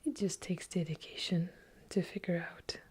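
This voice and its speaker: A woman with an Eastern European accent whispering softly through a casual ASMR question-and-answer chat, correcting herself now and then. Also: fairly low pitch, steady tone, slightly rough. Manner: soft unvoiced whispering, unhurried, chatty with small self-corrections.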